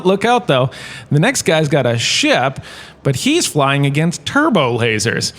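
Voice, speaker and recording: A somewhat high-voiced male history lecturer, played by an actor, vain and bumbling. He holds forth like the faded star he is, self-important and theatrical, rambling through his class in a comedic audio drama.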